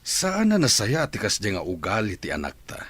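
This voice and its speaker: An actor with an Ilocano accent playing a firm father who speaks in a measured, disapproving way. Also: on the low side, huge pitch swings, fairly bright tone, husky, exaggerated voice. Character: firm middle-aged father.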